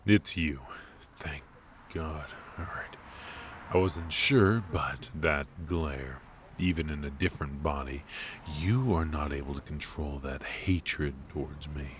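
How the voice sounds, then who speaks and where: A man playing a suave, ruthless mafia boss in a noir roleplay audio drama, taunting and teasing with menace and drawn-out pauses. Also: a bass voice, slight background noise, heavily acted. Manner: unhurried, taunting, drawling with pauses and soft chuckles.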